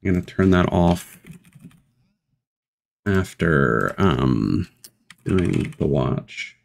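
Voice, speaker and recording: A man chatting casually as a livestreamer, muttering low-key asides and commentary into a desk microphone. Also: lively intonation, extremely slow, creaky.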